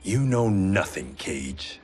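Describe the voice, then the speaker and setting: A man's voice: low-pitched, very animated, takes it slowly, darkish tone, full character voice. An actor playing a proud, brooding swordsman in a video game, speaking in a stern, earnest, terse way. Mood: curt, guarded. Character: proud, brooding male swordsman of fallen Japanese clan. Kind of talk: cinematic video game voice acting.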